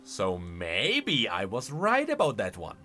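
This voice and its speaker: A man's voice: on the low side, huge pitch swings, slow pace, bright, forceful tone, faint music behind. A man hosting a game lore channel with casual reaction commentary, musing aloud and leaning hard on key words.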